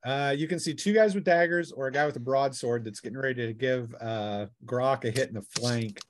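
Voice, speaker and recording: A man's voice: mid-range voice, brisk. A man acting as game master, narrating a tabletop game in plain, colloquial play-by-play.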